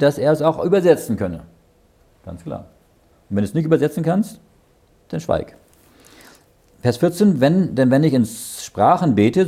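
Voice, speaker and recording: A man's voice: mid-range voice, mellow, slightly rough. A man leading a Bible study. He reads verses aloud, explains them in plain words and often checks with "ja?". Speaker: Bible teacher.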